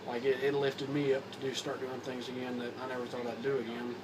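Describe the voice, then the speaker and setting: Young Kentucky guy, Southern accent, slightly hoarse, flat delivery, halting casual vlog talk, distant mic with background hum. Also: medium pitch. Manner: rambling, halting with repeats and fillers. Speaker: vlogger. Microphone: phone or camera mic.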